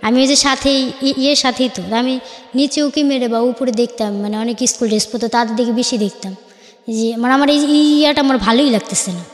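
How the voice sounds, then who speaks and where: High-voiced young Bangladeshi woman, halting storyteller. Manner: halting, self-correcting, acts out reported dialogue. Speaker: guest telling life story. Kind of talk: informal personal storytelling. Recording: echoey, reverberant room.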